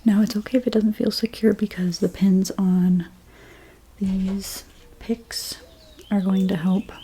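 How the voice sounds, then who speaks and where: A woman whispering in an ASMR role play as a hairstylist, gently talking through each step in a breathy, mostly unvoiced voice. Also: mid-range voice, flat delivery. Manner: gentle, unhurried, step-by-step personal attention. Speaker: ASMR creator playing a hairstylist.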